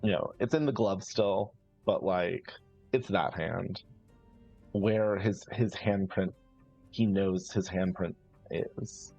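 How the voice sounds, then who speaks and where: A man improvising story narration as a player in a live tabletop roleplaying game, with halting, searching, thoughtful phrasing. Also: low-pitched, a little mellow, highs cut off, soft background music.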